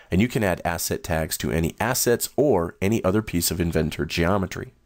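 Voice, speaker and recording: A man walking through new software features in a steady, explanatory screen-recorded demo. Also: low voice, no echo. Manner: steady, explanatory, first-person demo narration. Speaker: software demonstrator.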